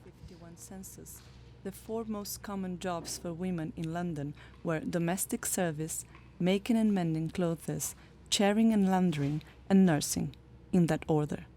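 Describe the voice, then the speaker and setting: A woman reads scripted documentary narration at an even, measured pace. Her voice is low and mellow. She keeps a steady reading tone. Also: slight background noise.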